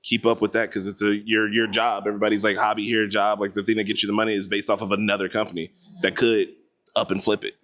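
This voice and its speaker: Fast-talking man, lowish voice. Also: flat delivery, a bit bright. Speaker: content creator, panel guest. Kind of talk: casual panel chat.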